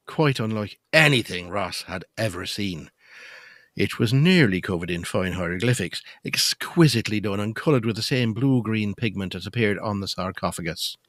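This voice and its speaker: A man's voice: lowish voice, a bit bright, dry recording, clean studio sound. A man narrating a gothic audio drama in a scripted storytelling style.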